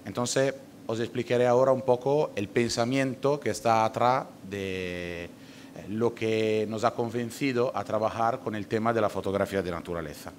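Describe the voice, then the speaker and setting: A rather low-voiced Italian man presenting at a conference in his non-native Spanish. He talks haltingly, with frequent filler sounds and self-corrections. A faint noise sits underneath the recording. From Italy. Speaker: conference speaker, ornithologist.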